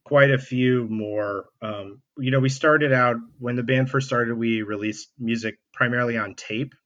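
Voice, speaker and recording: A man's voice: medium pitch, steady tone, moderate pace, fairly bright tone. A man, a musician, answering as a guest in a casual remote interview, hesitant and laid-back. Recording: slightly dull remote call audio.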